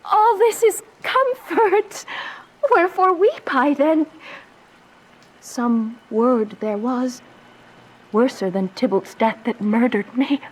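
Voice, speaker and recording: A woman playing an anguished, lovestruck young noble bride in a radio Shakespeare tragedy, with impassioned, drawn-out verse delivery. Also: high voice, rough recording. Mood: despairing.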